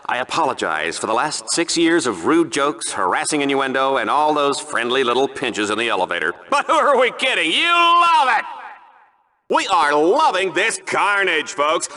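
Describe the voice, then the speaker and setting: An actor playing a bombastic, smug, bloodthirsty game-show sports announcer in a video game, with theatrical, mock-sincere delivery. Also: male voice, sits high, bright, forceful tone, heavily acted. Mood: smarmy, mock-sincere. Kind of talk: hyped-up arena commentary for a video game.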